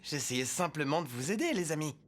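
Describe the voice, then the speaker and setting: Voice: mid-range voice, lively intonation, brisk, a little hiss or hum, close to an everyday voice. A performer playing a self-assured, know-it-all boy who advises friends in a French-dubbed cartoon. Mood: bossy but friendly.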